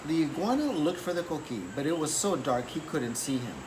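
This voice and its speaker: A man reading a children's picture book aloud in an expressive, deliberate storytelling manner. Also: fairly high pitch. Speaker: story reader. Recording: clearly noisy background.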